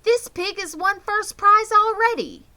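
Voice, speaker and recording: A woman voicing a loud, eager farm boy who exclaims in a storybook reading. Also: very high voice, a little slow, pitched above the performer's own voice, full character voice. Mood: excited.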